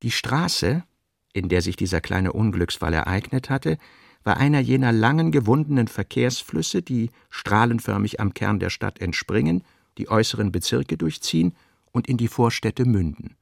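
A male narrator reads literary prose for a radio drama. His mid-pitched voice has a clear gravelly creak, captured in a dry studio sound. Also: lively intonation, moderate pace, dry recording.

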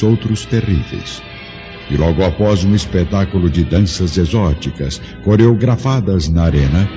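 Homem narrando, timbre escuro, som abafado, trilha musical suave. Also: male voice, low voice. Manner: grave, measured storytelling. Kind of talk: dramatic radio drama narration. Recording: muffled audio with music score.

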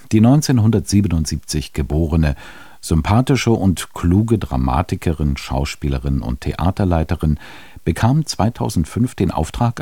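A man's voice: a bass voice, mellow, slightly rough, dry recording. A man giving a scripted introduction as a radio presenter.

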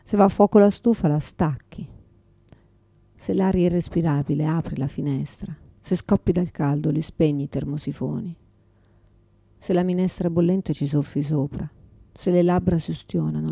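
Radio theatre monologue: exhausted, confused mother, raspy and creaky, fragmented delivery, bitter and pleading. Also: deep voice, normal speed, warm tone, no echo, full character voice. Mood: bitter, rambling. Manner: fragmented, repetitive, swearing, swinging from whispers to outbursts.

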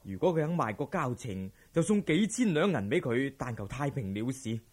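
Man playing a cautious, husky Cantonese scheming official. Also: medium pitch, big pitch swings, normal speed. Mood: placating, scheming. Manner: anxious, persuasive, placating. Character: cautious, scheming corrupt male grain official. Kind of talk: Cantonese audio drama acting.

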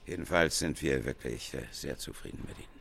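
An actor playing an older, pompous company boss in a radio play, praising in halting, repetitive business phrases. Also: male voice, extremely low pitch, creaky, a bit bright, slight background noise, heavily acted. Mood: effusive, pompous.

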